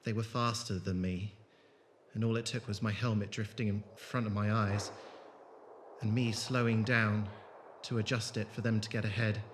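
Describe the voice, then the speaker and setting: A man in an audio drama playing a haunted former soldier and leader, speaking in a deliberate, dramatic way. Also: on the low side, little pitch movement, background noise, lightly acted. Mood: haunted, sombre. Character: haunted, grieving male former soldier, brisk leader of travellers.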